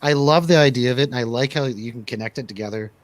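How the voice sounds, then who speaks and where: A man's voice: mid-range voice, fast, no echo. A man hosting a casual movie review chat, speaking in a conversational, opinionated way. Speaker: radio show host.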